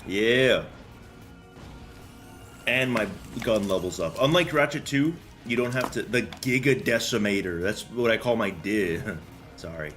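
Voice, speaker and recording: A man's voice: fairly low pitch, animated, a little slow, a bit bright, soft background music. A young man doing casual, slangy game commentary and chatting with viewers in a joking, rambling way. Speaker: game streamer. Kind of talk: casual gameplay commentary and chat.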